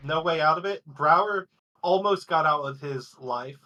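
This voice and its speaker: A man's voice: a little high, normal speed, pushed and bright, soft background music, low-quality recording. A man co-casting an esports match, chatty and casual, laughing easily. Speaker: esports co-caster. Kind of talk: casual commentary.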